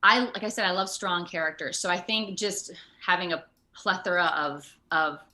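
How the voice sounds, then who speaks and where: A woman actor talking casually as an interview guest, animated and quick to act out remarks. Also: lowish voice. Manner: animated, acts out quoted remarks.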